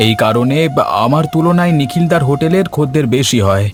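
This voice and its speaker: A man's voice: on the high side, lively intonation, fairly quick, close to an everyday voice. A man voicing an earnest, poor village eatery keeper and devoted husband in a cartoon story. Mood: worried. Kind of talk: Bengali cartoon story voice acting. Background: music.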